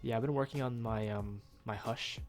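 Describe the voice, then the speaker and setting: A man's voice: mid-range voice, steady tone, normal speed, soft background music. A young man with a nasal, stuffed-up voice giving rambling, casual commentary while he plays a game at home, full of ums and uhs. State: nasal from a cold. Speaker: gaming YouTuber.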